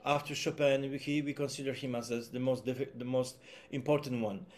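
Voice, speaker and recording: A man's voice: medium pitch, fairly even intonation, a little fast. A man with a Polish accent giving a talk as a concert musician, hesitant with many fillers and restarts, partly reading a prepared text. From Poland. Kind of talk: presentation, partly read aloud.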